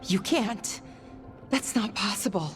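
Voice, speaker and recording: A woman's voice: mid-range voice, animated, slow. A woman playing an earnest, inquisitive young adventurer in a video game cutscene. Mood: disbelieving. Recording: long reverb, music behind.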